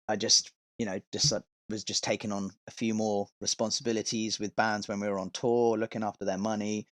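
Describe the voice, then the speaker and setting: A man's voice: on the low side, fairly bright tone, no echo. A man chatting casually as a podcast guest, telling stories in an informal, rambling, sweary way. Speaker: podcast guest, touring metal vocalist and tour manager.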